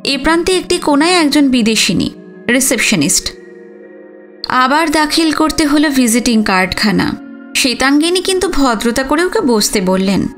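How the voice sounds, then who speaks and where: A woman's voice: high-pitched, very animated, normal speed. A woman reading a literary story as a dramatic audiobook narration. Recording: music under the voice. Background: background music.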